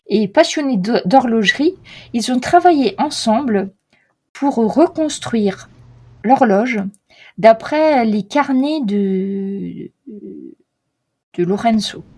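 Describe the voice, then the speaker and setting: A woman's voice: a little high, a little mellow, husky, heavily acted. A woman playing a polite, hesitant Florentine clock expert. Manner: formal, courteous, hesitant with many fillers.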